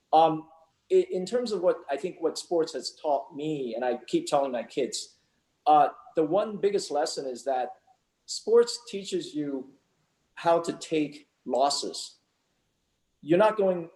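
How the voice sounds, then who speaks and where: Measured man from Taiwan, echoey room. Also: on the high side, a little mellow. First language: not English. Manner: measured, many fillers and restarts. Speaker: sports team owner, guest. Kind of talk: interview answers.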